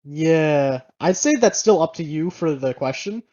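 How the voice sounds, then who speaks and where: Young person chatting on a podcast, rambly, mellow voice, kinda muffled lo-fi audio. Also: mid-range voice, lively intonation, unhurried. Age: young adult. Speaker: podcast co-host. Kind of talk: casual chat. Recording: dull lo-fi audio.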